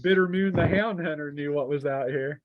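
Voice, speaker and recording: A man working as game master, narrating and refereeing a tabletop role-playing game in a halting way full of fillers. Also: a little high, muffled recording.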